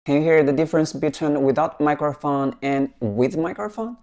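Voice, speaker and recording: Mellow-voiced man presenting a gadget. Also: fairly high pitch, moderate pace. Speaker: vlogger reviewing a microphone. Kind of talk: casual product demo to viewers.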